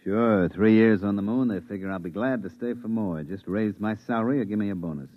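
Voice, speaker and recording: Low-voiced, bitter spaceman played by a man. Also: a little fast, close to an everyday voice. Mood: terse, weary. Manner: dry, sardonic grumbling. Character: weary, bitter, sardonic spaceman stationed on the moon. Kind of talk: 1950s radio drama acting. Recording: dull, echoey 1950s radio recording.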